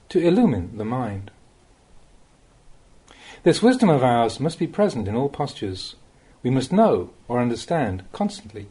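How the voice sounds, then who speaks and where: A man reads a Dhamma talk aloud in a dark, mellow tone with some huskiness. His phrasing is measured, yet his intonation moves widely and expressively, giving the teaching the feel of a thoughtful spoken audiobook. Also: mid-range voice, husky. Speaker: monk reading Buddhist teachings.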